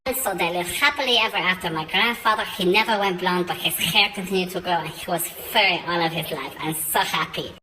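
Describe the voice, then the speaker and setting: Chatty woman storyteller, playful comic tale, lowish voice, clear background noise. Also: brisk, few pauses. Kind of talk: comic improvised fairy-tale narration.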